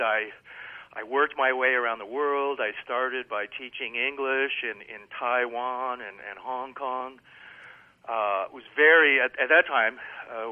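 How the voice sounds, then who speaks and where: An older American man speaks through a narrow, tinny phone line. He talks in an animated, emphatic way, piling up examples and filling pauses with fillers. His voice sits at an ordinary pitch for a man. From United States. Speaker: guest author. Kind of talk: phone interview.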